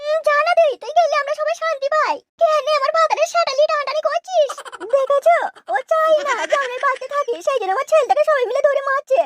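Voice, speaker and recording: A woman playing a scheming, quarrelsome village wife in a comic cartoon, with a shrill, insistent delivery. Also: quick pace, few pauses, a little hiss or hum. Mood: aggrieved, quarrelling. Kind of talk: exaggerated comic cartoon dialogue.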